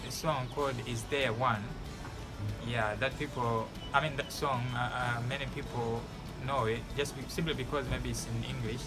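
A man's voice: mid-range voice, fairly even intonation, moderate pace, over music. A young man from Malawi, answering interview questions outdoors in an earnest, advice-giving way with frequent restarts. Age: young adult. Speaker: graduating student interviewee. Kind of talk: casual interview. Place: outdoors by a building wall.

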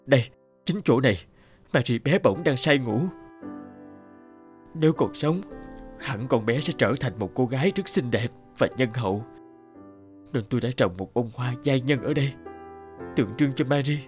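An actor playing a gentle, grieving young father from a village in a cartoon, earnest and pleading. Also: medium pitch, animated, normal speed, pauses often, lightly acted. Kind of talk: cartoon dub acting. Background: background music.

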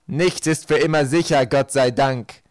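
A man voicing a theatrical, gloating villainous count with a mocking, drawn-out, declamatory delivery. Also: medium pitch, no room sound, pitched above the performer's own voice, lightly acted. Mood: smug.

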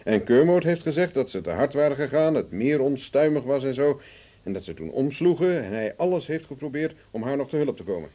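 An actor playing a worldly, blunt investigator in a Dutch radio drama, questioning deliberately and insistently. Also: male voice, mid-range voice, very animated, warm tone.

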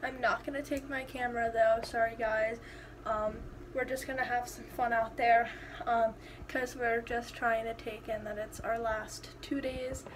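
A woman's voice: a little high, level tone, unhurried, background noise. A young woman in her twenties chatting casually to her phone camera in an upbeat vlog, with lots of fillers. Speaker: vlogger. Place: hotel room and outdoors at a beach town. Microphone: phone camera at arm's length.

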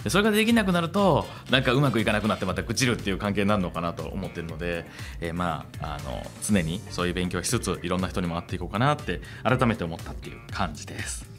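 A middle-aged male radio host talks quickly in a fairly low voice. He is conversational and reflective, close to a table mic, with music playing softly behind him. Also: animated. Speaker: radio show host and company founder. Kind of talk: conversational radio monologue and interviewing. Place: small room, seated at a table. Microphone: table microphone on a stand. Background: soft music.

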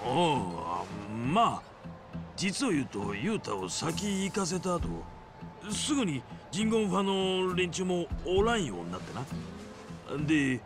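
An actor playing a brash, lecherous middle-aged yakuza boss with a Hiroshima accent and a gravelly voice, in a video game. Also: male voice, on the high side. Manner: blustering, exaggerated, animated. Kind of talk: video game cutscene acting.

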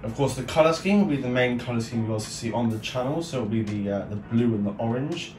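A man's voice: mid-range voice, fast talker, a little mellow. A man giving a casual, unscripted show-and-tell as a vlog host, thinking aloud in an informal way. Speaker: YouTube host showing a hobby build. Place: indoor room beside the build. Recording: echoey room, distant mic, music behind.

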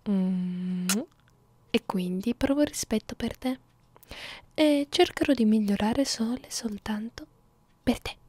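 Slow, whispery vampire girlfriend, acted by a woman. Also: mid-range voice, no echo. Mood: haughty, affectionate. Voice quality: soft, breathy, often slipping into whispers. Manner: coaxing, halting, close-up murmuring with small hums. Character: hungry immortal female vampire girlfriend, clingy, teasing, predatory. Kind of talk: intimate ASMR girlfriend roleplay in Italian.

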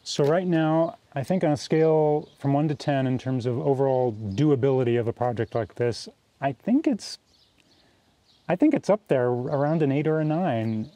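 A man in his forties talks through an old camper in a calm, thoughtful way, pausing often to weigh what he sees. His voice sits at a plain middle pitch, and he sounds close to a clip-on mic. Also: no room sound. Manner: thoughtful, measured, frequent pauses and fillers. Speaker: vlogger touring a camper. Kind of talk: casual walkthrough narration. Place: small camper trailer and outdoors, plus a dim room for to-camera parts.

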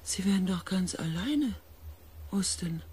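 A woman playing an anxious, weary space expedition pilot, narrating a dramatic audio drama in a hushed, intense way. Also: fairly low pitch, lively intonation, unhurried, breathy edge, heavily acted. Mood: pleading. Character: anxious, weary expedition pilot recounting events, far future.

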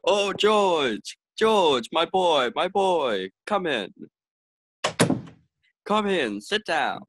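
A man's voice: fairly high pitch, very animated, extremely slow, noisy background, full character voice. A man playing a jovial, chuckling older boss in a 1940s-style radio comedy. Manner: hearty, chuckling.

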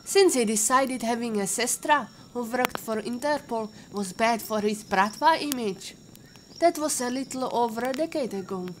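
High-voiced Russian warrior mentor played by an actress. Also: female voice, normal speed, slight background noise, close to an everyday voice. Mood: gentle, reflective. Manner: instructive, formal phrasing. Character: patient female warrior mentor, former Interpol agent, caring teacher. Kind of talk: audio drama acting.

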